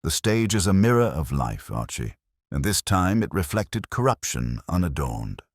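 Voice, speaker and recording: Guy reading as a pompous genius detective, super low voice, formal and grand, audiobook style. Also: sing-song, normal speed, dry recording, clean studio sound. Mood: stern. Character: weighty, pompous, brilliant male private detective.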